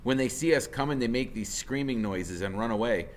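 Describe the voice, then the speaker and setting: A man narrating a first-person story aloud in an expressive, dramatic way. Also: mid-range voice, brisk, slight background noise. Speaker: audiobook reader, teacher.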